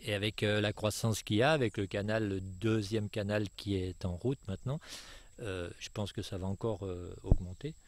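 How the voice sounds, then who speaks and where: A man's voice: fairly low pitch, fairly even intonation, moderate pace. A retired Frenchman in his sixties being interviewed outdoors, talking with many fillers and restarts. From France. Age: 60s. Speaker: retired property owner. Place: outdoors on a jungle estate.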